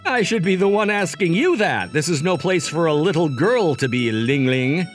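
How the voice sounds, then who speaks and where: A man's voice: fairly high pitch, expressive, normal speed, close to an everyday voice. An actor playing a smug, logic-obsessed young man who narrates and banters theatrically in a manga dub. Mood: teasing, incredulous. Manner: smug, wordy, theatrical, dramatic asides. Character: cocky, logic-obsessed young male job seeker, teasing romcom narrator. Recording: music behind the voice.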